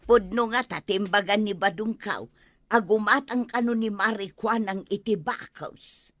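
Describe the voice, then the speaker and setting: Bright, nosy Ilocano village woman. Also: mid-range voice, a little slow, no room sound. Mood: gossiping. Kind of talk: radio comedy drama acting.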